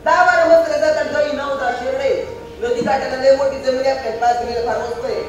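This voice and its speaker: High-pitched, excitable young bachelor, played by a man. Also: quick pace, a little hiss or hum. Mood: boastful, comic. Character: excitable young bachelor, reluctant suitor with comic swagger. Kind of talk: stage play acting. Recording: echoey stage, distant mic, background music.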